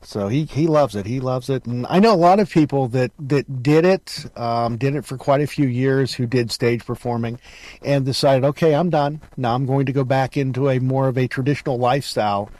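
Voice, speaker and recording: A man's voice: mid-range voice, a little fast, a little mellow, no room sound, low-quality recording. A middle-aged man hosting a casual, conversational interview. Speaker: interview host.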